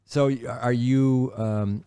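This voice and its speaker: A man's voice: medium pitch, steady tone, slow pace, warm tone, smooth. A man from Wisconsin hosting a podcast, curious and asking long strings of questions in casual chat. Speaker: podcast host.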